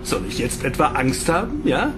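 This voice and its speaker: A man's voice: mid-range voice, huge pitch swings, normal speed, over loud music. A man playing a defiant, sarcastic human prisoner in a sci-fi radio drama, blunt and mocking.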